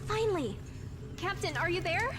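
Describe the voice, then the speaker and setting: A woman's voice: very high voice, animated, normal speed, in a large hall, background noise. An actress voicing an eager female spaceship crew member in a video game. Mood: urgent, excited. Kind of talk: scripted video game voice acting.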